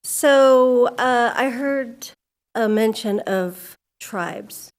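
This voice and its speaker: A woman's voice: on the high side, drawn-out words. Candid woman speaking at a podium mic. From California. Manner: candid, conversational, grows emphatic. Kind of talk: public comment at a school board meeting. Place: civic center meeting hall.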